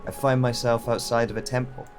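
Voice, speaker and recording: A man playing a brooding, reflective wanderer who narrates a solitary journey in a measured, solemn way for an audio drama. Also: medium pitch, flat delivery, music in the background, lightly acted.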